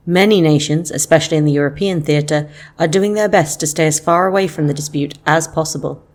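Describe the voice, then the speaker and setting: Woman acting as radio foreign correspondent, really low female voice, serious factual news report read. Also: a little fast, a little mellow, close to an everyday voice.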